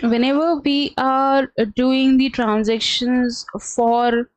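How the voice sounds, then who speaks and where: A woman presenting a live training webinar, explaining step by step in a halting, instructional way. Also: sits high, steady tone, no room sound, rough recording. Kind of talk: live instructional screen-share walkthrough.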